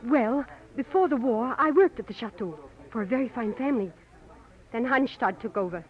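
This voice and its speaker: A woman's voice: fairly high pitch, lively intonation, normal speed, lightly acted. An actress playing an anxious, guarded young French woman with a French accent and a hesitant, polite manner, in a 1940s radio drama. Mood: guarded, earnest. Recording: muffled old radio broadcast recording.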